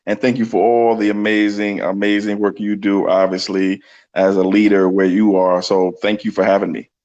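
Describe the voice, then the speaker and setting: Male guest, lower voice, earnest, thinks out loud. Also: level tone, moderate pace, highs cut off. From New York City. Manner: earnest, thinks aloud with ums and restarts. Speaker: guest, community organizer. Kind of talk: casual explanatory interview talk.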